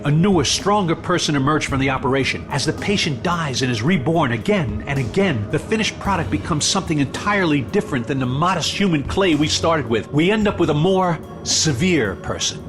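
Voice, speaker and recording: A man's voice: on the high side, lively intonation, a little fast, natural voice. With music swelling behind him, a villainous scientist played by a man holds forth in audio-drama style. He is suave and condescending, explaining his work with theatrical deliberation and a fanatic's conviction. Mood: fervent.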